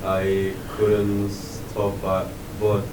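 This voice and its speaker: A man from Chile, asking a question from the audience slowly and haltingly. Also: on the low side, completely flat delivery. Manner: slow, halting, searching for words. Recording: distant echoey room with noise.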